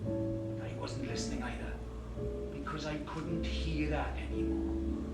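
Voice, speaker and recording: An actor playing a volatile, violent jilted man. He swears heavily and swings from hushed confiding to roaring rage. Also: fairly high pitch, lightly acted. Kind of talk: theatrical monologue. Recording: echoey room, distant mic, music behind.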